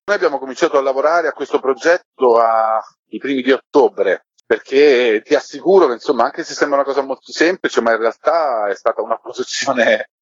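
A man's voice: mid-range voice, brisk, slightly rough. A man giving discursive, explanatory answers in a conversational interview. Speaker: podcast curator being interviewed. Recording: roomy, dull lo-fi line.

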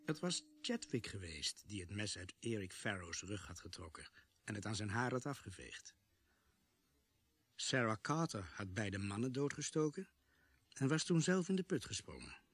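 Sceptical investigator-narrator for radio drama: measured, matter-of-fact, husky, very dry close sound. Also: male voice, fairly low pitch, very animated, no room sound. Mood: grave, recounting.

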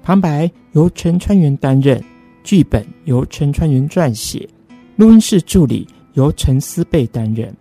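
A man's voice: a little high, lively intonation, a little slow, warm tone, faint music behind. A man hosting a late-night radio show, introducing a drama and its cast.

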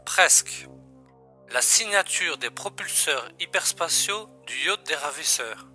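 Male actor as agent's colleague, highish piercing voice, wry, brisk, sci-fi audio drama, faint music. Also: animated, sharp tone, full character voice. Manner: wry, familiar, matter-of-fact. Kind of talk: science-fiction audio drama acting.

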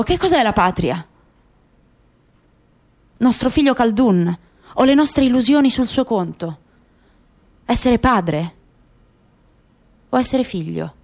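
A woman voicing a grieving, bitter middle-aged father in a radio play, speaking in measured, rhetorical phrases. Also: high voice. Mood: searching, sorrowful.

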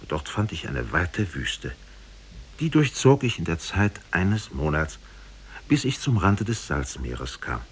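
Low husky male storyteller, expressive. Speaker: narrator of a radio fairy tale. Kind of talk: 1950s radio drama storytelling, scripted narration.

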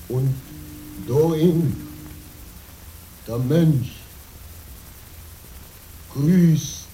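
An actor playing a frail, humble monk facing death in an old-fashioned radio play, speaking solemnly and with halting effort. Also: male voice, mid-range voice, mellow, reverberant. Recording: faintly noisy old radio recording.

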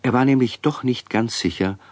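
A man's voice: medium pitch, normal speed, a little mellow, dry recording. A man narrating a scripted children's radio play as its storyteller.

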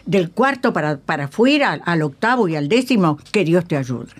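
Low-voiced older Uruguayan woman reminiscing. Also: very animated. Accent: Uruguayan Spanish. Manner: emphatic, halting storyteller who repeats phrases and adds tag questions. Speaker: guest, veteran radio actress. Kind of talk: reminiscing in a radio interview. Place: radio studio.

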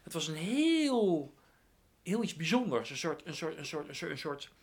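A man's voice: a little high, very animated, moderate pace, husky. A man in his forties chatting informally as an interviewer, enthusiastic and repeating phrases while he searches for words. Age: 40s.

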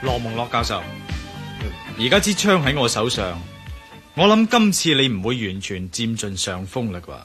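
An actor playing a menacing hero with a gun in a radio drama, commanding and sardonic, with a Hong Kong accent. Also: male voice, medium pitch, big pitch swings, normal speed, loud music behind the voice, natural voice. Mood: threatening. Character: menacing male hero with a gun, demanding answers. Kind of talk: Cantonese radio drama acting.

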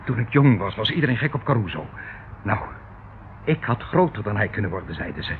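A man's voice: on the low side, very animated, a little fast, phone-quality sound. A thin, hissy radio-play recording carries a middle-aged uncle, pompous and falsely doting. His voice swoops theatrically as he rambles and patronises, slippery and evasive throughout. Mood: boastful, wistful. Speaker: radio drama actor. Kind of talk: Dutch radio play acting.

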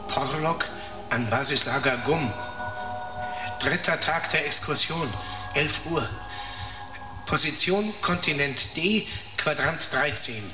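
An uneasy explorer filing a report in a science fiction audio drama. His fairly high voice is formal yet hesitant, echoing over a radio link with music clearly behind it. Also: slow, long pauses. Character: uneasy male expedition member filing a field report by radio. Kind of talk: radio play acting.

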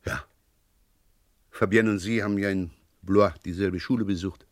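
An actor playing a polite but persistent, dryly sceptical police inspector in a German crime radio play, unhurried and given to hesitant repetitions. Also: male voice, low voice, steady tone, no echo, clean studio sound, close to an everyday voice. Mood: politely probing.

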